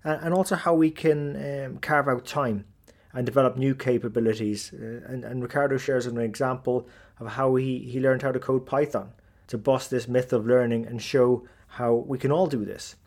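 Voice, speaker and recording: Male podcast host, mid-pitched voice, friendly, some ums and uhs. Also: steady tone, normal speed, a little mellow. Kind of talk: podcast intro and interviewing.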